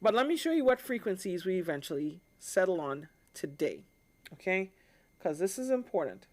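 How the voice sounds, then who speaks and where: A woman's voice: fairly low pitch, sing-song, normal speed. A woman from the Caribbean hosting a live stream, explaining things in an informal, conversational way and often checking in with her listeners.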